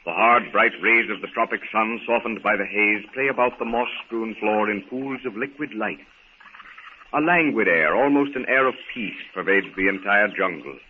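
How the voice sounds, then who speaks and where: An old, tinny radio recording with a little noise frames a man's fairly low voice. He narrates an adventure serial in scripted 1930s style, deliberate and theatrical, as a dramatic announcer.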